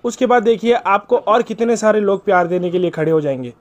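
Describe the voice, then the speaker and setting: A man's voice: high voice, a little fast. A man making an earnest, persuasive appeal on a recorded phone call, polite and deferential, repeating himself for emphasis. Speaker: caller.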